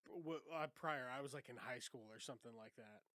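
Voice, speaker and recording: A man's voice: mid-range voice, a little fast, pushed and bright, no room sound. A young man hosting a podcast in loose, profane casual chat, sounding congested. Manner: loose, profane, laughs often. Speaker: podcast host.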